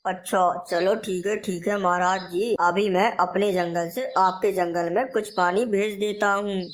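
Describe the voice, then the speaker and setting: A woman's voice: mid-range voice, fairly even intonation, measured pace, close to an everyday voice. Woman voicing a courteous, helpful cartoon owl. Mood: obliging. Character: courteous, helpful male owl from another forest. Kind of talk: children's cartoon dialogue.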